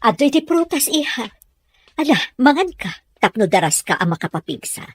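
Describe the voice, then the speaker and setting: A woman's voice: high-pitched, big pitch swings, brisk, breathy edge, full character voice. A woman with an Ilocano accent playing a caring, worried middle-aged mother in an audio drama. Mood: fussing, tender.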